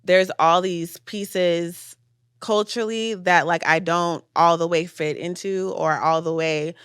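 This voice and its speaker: A young woman from the States chats on a podcast with a slightly low voice, thoughtful and loose, full of casual fillers. The sound is close and dry, with no room around it. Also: steady tone, takes it slowly, clean tone, no room sound. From United States. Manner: reflective, casual, many fillers. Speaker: podcast guest, filmmaker.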